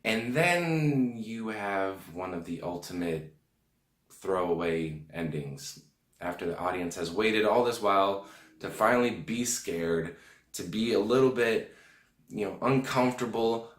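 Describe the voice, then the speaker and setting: Hoarse low-voiced man reviewing a film. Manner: chatty, direct address to viewers. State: hoarse, under the weather. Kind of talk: casual film review to camera. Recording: echoey room.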